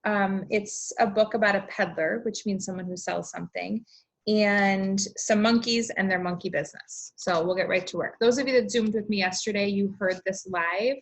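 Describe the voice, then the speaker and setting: A woman teacher reading aloud and explaining things to young children, with expressive, deliberate emphasis. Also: medium pitch. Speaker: elementary school teacher.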